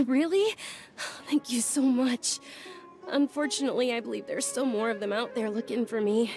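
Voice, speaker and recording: A gentle young devotee from a video game, played by a woman, polite and a little hesitant. Her voice sits fairly high with noticeable creak, over faint music. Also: normal speed, close to an everyday voice. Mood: relieved, nervous. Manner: polite, soft-mannered, hesitant, apologetic. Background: background music.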